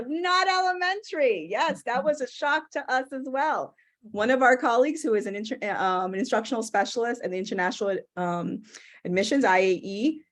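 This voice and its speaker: A woman presenting a training session over a video call, chatty and upbeat with frequent fillers. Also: on the high side. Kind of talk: casual webinar presentation.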